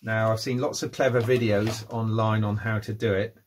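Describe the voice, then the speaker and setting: A man in his fifties presenting a casual hands-on how-to vlog, informal and low-key, thinking aloud as he works. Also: flat delivery, normal speed. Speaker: hobbyist presenter. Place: at home, indoors and in a workshop. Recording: noisy home-made recording with clicks.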